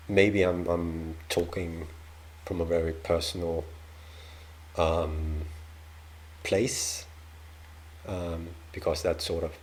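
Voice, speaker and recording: A man's voice: deep voice, a little hiss or hum, low-quality recording. A man from Denmark with a Danish accent, hosting a casual reaction video and talking hesitantly with many ums and restarts.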